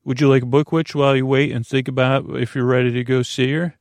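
Creaky-voiced man, meandering and halting. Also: medium pitch, little pitch movement, dry recording, studio quality. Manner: meandering, halting, self-interrupting, trailing off. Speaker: podcast host. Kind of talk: rambling bedtime podcast monologue.

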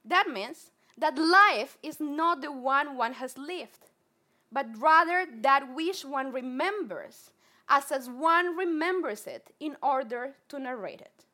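Colombian woman psychologist, high and bright voice, earnest talk, restarts words. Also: lively intonation, slow. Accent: Colombian Spanish. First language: Spanish. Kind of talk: public talk to a live audience.

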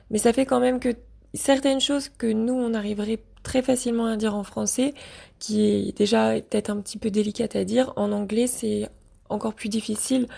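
A young woman recounts her experience in a low, mellow voice with a strong gravelly fry. She talks in a flat, even tone and often pauses on fillers as she searches for words. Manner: hesitant, frequent fillers. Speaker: interviewee. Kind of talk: personal testimony.

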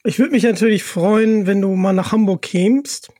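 A man's voice: high-pitched, moderate pace, warm tone, no echo, clean studio sound. A man hosting a casual interview, speaking in an appreciative, complimentary way to his guest. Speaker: interviewer, host.

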